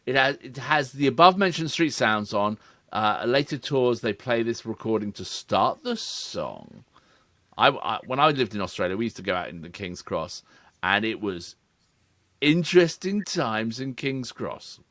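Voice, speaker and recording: A man's voice: medium pitch, very animated, moderate pace, a bit bright, no room sound. A middle-aged man, co-hosting a reaction video in casual chat, reading out notes with asides. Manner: chatty, reads background notes with asides.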